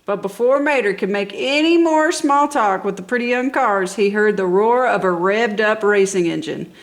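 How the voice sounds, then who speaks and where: A woman's voice: medium pitch, moderate pace. A woman reading a children's story aloud with enthusiasm and dramatic emphasis. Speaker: storybook reader. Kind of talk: expressive children's story narration.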